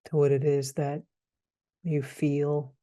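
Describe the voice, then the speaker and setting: A man guides a meditation in a dark, relaxed voice. His delivery is very slow and gentle, with soft pauses between hesitant phrases. The melody stays quite flat and even throughout. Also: fairly high pitch.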